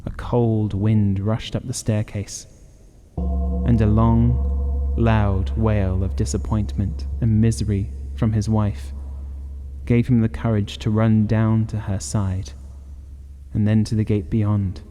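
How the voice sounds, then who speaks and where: A man's voice: lowish voice, flat delivery, slow pace, dark tone, soft background music. A man narrating a horror audiobook in a dramatic, scripted reading style.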